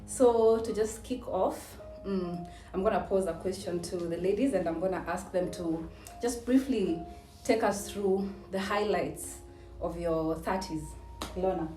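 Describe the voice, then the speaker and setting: A woman's voice: fairly low pitch, measured pace, warm tone. A woman, chatting casually and leading a discussion in a house. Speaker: content creator posing questions. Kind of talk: casual chat. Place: indoors in a house. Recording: roomy echo, distant mic, faint music. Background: faint music.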